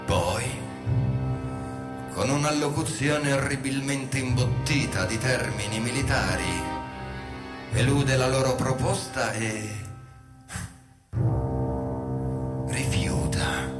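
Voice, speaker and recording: An Italian actor playing a bitter, scheming soldier passed over for promotion, in a theatrical monologue set to music, scornful and swinging between cries and whispers. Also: male voice, medium pitch, slow pace, pauses often, close to an everyday voice. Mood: scornful, resentful. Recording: echoey stage sound under loud music. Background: loud musical accompaniment.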